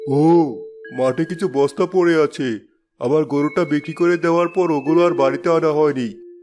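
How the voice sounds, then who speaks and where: A calm, considerate landowner in a cartoon, voiced by a man with a slightly high voice. He speaks evenly, with faint music behind. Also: moderate pace, lightly acted. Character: kindly landowner employer, middle-aged man.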